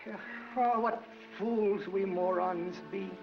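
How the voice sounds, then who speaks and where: A man's voice: sits high, fairly even intonation, slow. A young soda fountain clerk in 1940s comedy style. He is sympathetic. The soundtrack is dull with music underneath. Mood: commiserating. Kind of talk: 1940s film comedy acting.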